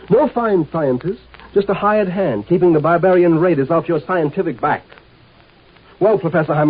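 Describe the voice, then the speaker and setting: An actor playing a gruff, scornful galactic Grand Marshal in a 1950s radio drama, with a blustering, domineering manner. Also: male voice, a little high. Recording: tinny, band-limited old radio recording, faint music.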